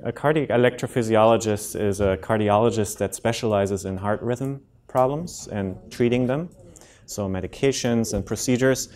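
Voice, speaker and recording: A German-accented man in his thirties gives a friendly talk on heart rhythm to a community audience. He explains things plainly, often pausing with ums and restarting sentences. He speaks into a microphone in a lecture room. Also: lowish voice, a little mellow. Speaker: cardiologist giving a public health talk. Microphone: handheld and podium microphone.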